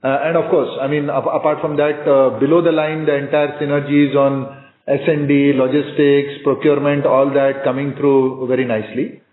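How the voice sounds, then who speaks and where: A man speaking as a company executive on an earnings call, in a measured but halting business style. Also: medium pitch, flat delivery. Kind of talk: measured business presentation and answers. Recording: echoey, narrow conference phone line.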